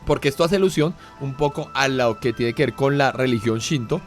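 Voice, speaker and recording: Male host, mid-pitched, talks at length, faint music. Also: brisk. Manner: clarifies at length with restarts. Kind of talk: talk show hosting.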